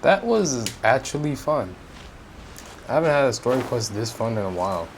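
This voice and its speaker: A woman's voice: extremely low pitch, very animated, moderate pace, slight background noise. A woman giving casual, laid-back commentary as a gamer reacting to a story playthrough in short remarks.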